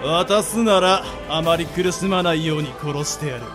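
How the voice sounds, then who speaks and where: A man playing a cruel bandit sorcerer in an amateur radio drama, drawling threats in a creaky voice. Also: a little high, moderate pace, close to an everyday voice. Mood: cool menace. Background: music.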